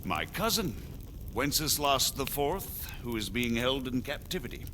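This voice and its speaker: A man playing a measured, diplomatic medieval margrave in a video game, speaking formally and deliberately. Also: mid-range voice, very animated, bright, forceful tone, a little hiss or hum. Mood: grave, earnest. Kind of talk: dramatic video game acting.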